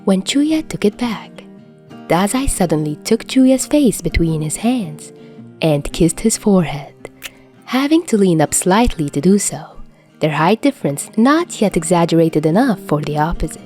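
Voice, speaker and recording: A woman narrating fan fiction as an audio reader, in an expressive storytelling style. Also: lowish voice, normal speed, soft background music. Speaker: podfic narrator.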